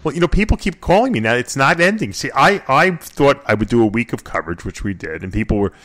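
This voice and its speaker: A man's voice: medium pitch, expressive, fast, a bit bright. A man hosting a casual radio talk show, rambling and joking with plenty of fillers. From Florida. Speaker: host.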